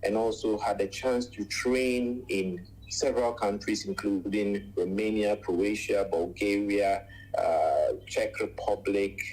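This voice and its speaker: A man in his forties from Ghana, a radio guest telling his life story in a measured way, with many pauses and long lists. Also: fairly low pitch, steady tone, a little mellow, low-quality recording. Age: 40s. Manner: measured, frequent "uh" pauses, lists things at length.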